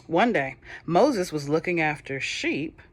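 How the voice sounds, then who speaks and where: A woman reading a storybook aloud to children at an unhurried, slow pace. She talks gently and chattily, stopping to ask the kids questions between pages. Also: low-pitched, big pitch swings.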